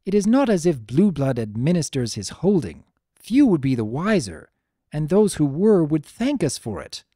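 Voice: medium pitch, big pitch swings, moderate pace, mellow, dry recording, clean studio sound, voice pushed up. A narrator voicing a formal, earnest female pony princess in a dramatic story reading, with archaic, stately diction. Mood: thoughtful, scheming.

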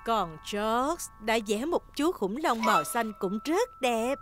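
A woman voicing a kindly, encouraging gazelle schoolteacher in a dubbed preschool cartoon, talking gently to small children. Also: a little high, very animated, slow, loud music behind the voice.

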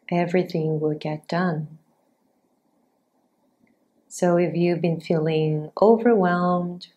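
A woman teaching yoga nidra as a guided meditation, giving short, measured instructions with long pauses between them. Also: fairly low pitch, dark tone.

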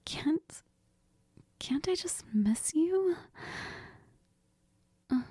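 A woman's voice: very high-pitched, expressive, drawn-out words, warm tone, no echo, exaggerated voice. A woman playing a needy, cuddly girlfriend in an intimate audio role-play, whispery and tender, with hesitant murmurs. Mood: coy, needy. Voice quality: breathy, whispery. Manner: hesitant, giggly, tender, murmuring with Spanish endearments. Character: needy, cuddly, affectionate girlfriend who missed her partner.

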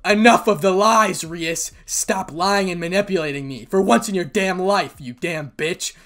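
Casting: wounded teenage boy played by a man, raised pitch, venomous, wary, accusing, for a story reading. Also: very animated, extremely slow, a bit bright, slightly rough, heavily acted. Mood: bitter anger. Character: traumatized angry teenage boy, bitter and wary. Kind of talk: amateur story reading, acted dialogue.

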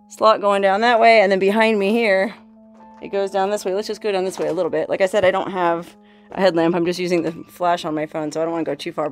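A woman in her forties, filming herself on a phone while exploring an old mine and a desert canyon, talking casually with awed exclamations and laughs. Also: on the low side. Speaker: solo explorer vlogger. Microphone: handheld phone at arm's length.

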